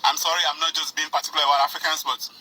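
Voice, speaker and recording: Casting: Nigerian-accented man, bright tone, careful advisory delivery, faint noise behind. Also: on the high side, level tone, rapid-fire, rough recording.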